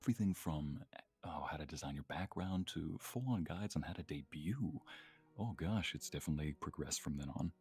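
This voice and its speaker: Low voiced guy w fry in his voice, playful livestream storytelling, music behind. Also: animated, normal speed, darkish tone. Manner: chuckles often, playful storytelling. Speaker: streamer co-hosting a live chat. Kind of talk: casual livestream chat.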